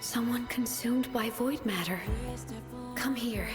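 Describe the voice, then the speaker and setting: Protective young heroine, high, slow-spoken, tense. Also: female voice, roomy sound. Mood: alarmed. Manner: alarmed, urgent, protective. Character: brave young heroine shielding someone. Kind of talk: game cutscene voice acting. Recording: with game music.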